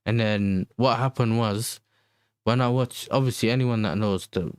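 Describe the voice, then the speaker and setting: A man's voice: medium pitch, moderate pace, smooth, dry recording, studio quality. A man from the Netherlands with a London accent, chatting casually as a football livestream host in slangy, conversational, repetitive phrasing. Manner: slangy, conversational, repeats himself. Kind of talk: casual livestream chat answering viewers.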